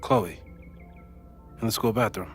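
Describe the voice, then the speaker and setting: A man acting a geeky, reassuring physicist friend in a video game, with an American accent and a thoughtful, gently joking manner. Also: lowish voice, unhurried. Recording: music under the voice. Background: game music.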